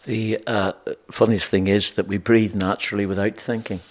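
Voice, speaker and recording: A man's voice: fairly low pitch, level tone, moderate pace. An Irish man, co-host of a conversation, musing aloud and thinking through ideas with restarts. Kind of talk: reflective conversation.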